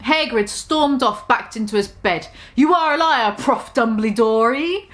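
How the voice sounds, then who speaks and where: A young woman giving a mock-dramatic comic reading, deadpan with swearing that breaks into giggles. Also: a little high, measured pace. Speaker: comedic reader.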